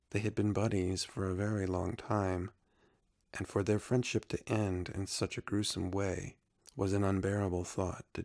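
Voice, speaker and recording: A man narrating a scripted sci-fi story in a soft, unhurried, solemn manner. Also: sits low, creaky.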